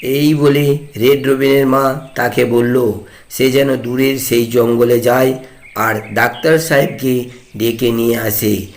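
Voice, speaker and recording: A man reads a scripted fairy tale as narrator of a cartoon. His pace is very slow and his pitch is average for a male voice. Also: fairly even intonation, a little hiss or hum.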